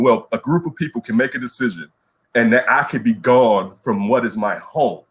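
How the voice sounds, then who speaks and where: A man co-hosting a casual sports talk show, ranting in an animated way and repeating words when he gets worked up. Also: mid-range voice. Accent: Chicago. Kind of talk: casual radio show rant.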